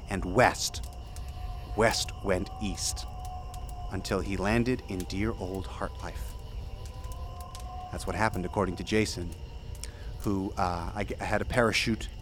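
A man's voice: on the low side, a bit bright, soft background music. An actor playing a digressive storyteller, narrating a tall tale conversationally in an audio drama and flustering over his own words. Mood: earnest, rambling. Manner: halting, digressive, flustered self-corrections. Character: rambling, self-correcting male storyteller of a tall tale.

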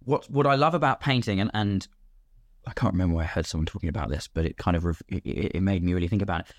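Low-voiced British man, dry close sound. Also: quick pace, no echo. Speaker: podcast guest, filmmaker. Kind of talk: casual conversational explanation of filmmaking.

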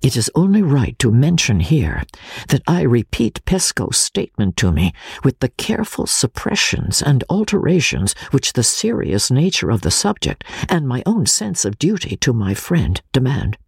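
A man's voice: mid-range voice, huge pitch swings, normal speed, gravelly, husky, dry recording, studio quality. A man narrating a classic novel as a dramatic audiobook reading.

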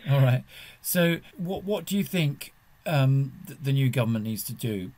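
A man of medium pitch hosts an informal discussion. He feels his way into questions with halting phrasing and adds a wry edge. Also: animated, a little mellow. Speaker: podcast host.

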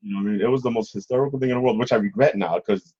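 Middle-aged man, husky, low pitch, rambling casual storyteller, interview guest, slightly dull lo-fi sound. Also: rapid-fire, mellow.

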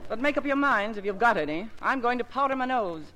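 An actress playing a bossy, matchmaking aunt in a 1950s radio comedy-drama, brisk and sardonic, on a crackly recording. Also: female voice, fairly high pitch, expressive, a bit bright. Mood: exasperated.

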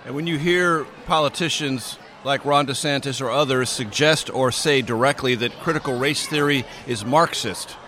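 A man hosting an interview over lunch, asking measured, thoughtful questions. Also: medium pitch, bright, forceful tone. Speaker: interviewer and host.

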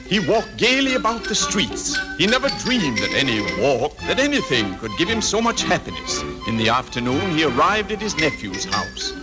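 A man narrating a story in genial, expressive old-time radio style. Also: fairly high pitch, normal speed, few pauses, poor audio. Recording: vintage radio broadcast recording. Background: music.

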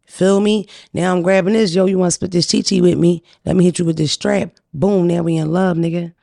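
A woman's voice: lowish voice, steady tone, fairly quick, creaky, mellow, dry recording, clean studio sound. A young adult woman, a comedian, telling brash, profane stories in casual chat. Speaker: comedian guest.

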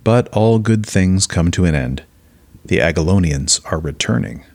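A man's voice: deep voice, lively intonation, normal speed, creaky, a little mellow, heavily acted. An actor as a solemn male storyteller, reading scripted narration for an audio play. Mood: ominous.

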